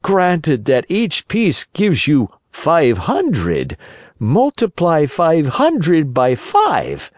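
A storybook reader voicing a sly, scheming fox who coaxes slowly and smoothly. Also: mid-range voice, huge pitch swings, no room sound, clean studio sound, voice pushed up, heavily acted. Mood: coaxing. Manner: drawn-out, coaxing.

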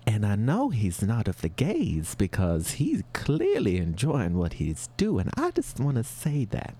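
Man doing a breathy, prim, flustered housewife. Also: wildly swooping pitch, a little slow, dark tone, exaggerated voice. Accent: put-on regional accent. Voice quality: high put-on voice with breathy whispers. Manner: dramatic, prim, full of double meanings. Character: fussy married woman, flustered, prim, middle-aged. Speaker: advice-show caller. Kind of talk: improvised comedy sketch.

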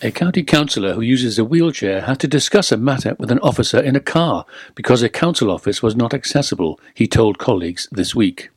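A man's voice: mid-range voice, no echo, clean studio sound. A man reading a scripted local radio news bulletin. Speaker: radio newsreader. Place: radio studio.

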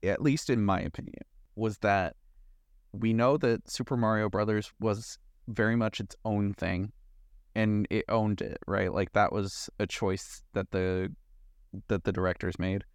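A man's voice: lowish voice, fairly even intonation, a little slow, no room sound. Easygoing man in casual chat, dry sound. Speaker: podcast co-host. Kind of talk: casual opinionated movie chat.

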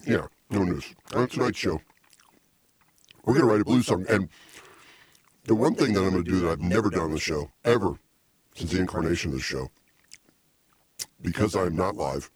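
A middle-aged male host with a very deep voice and a husky, rough edge to it. He rambles and needles his sidekick with deadpan jabs. He speaks close into a small desk mic in a home music studio. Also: normal speed, pauses often. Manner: teasing, rambling, deadpan insults. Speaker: podcast host. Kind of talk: casual comedic chat. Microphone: small desk mic stand.

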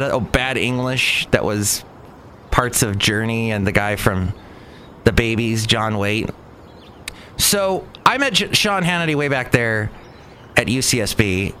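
A man's voice: medium pitch, fairly bright tone. Middle-aged American man reminiscing over background noise. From Southern California, United States. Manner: conversational, self-correcting, digressive. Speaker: veteran radio broadcaster telling personal stories. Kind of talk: casual rambling monologue of reminiscences.

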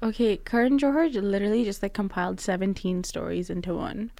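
A young woman co-hosting a casual podcast, animated and quick to laugh, with lots of "like" fillers. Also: medium pitch, creaky, dry recording. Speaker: podcast co-host. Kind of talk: casual chat.

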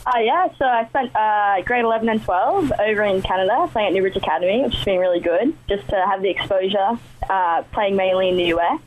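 A young Australian woman answering interview questions in a relaxed way, full of "uh" and "like". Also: medium pitch, a little fast, slight background noise, poor audio. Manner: relaxed, lots of "uh" and "like". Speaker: ice hockey player guest.

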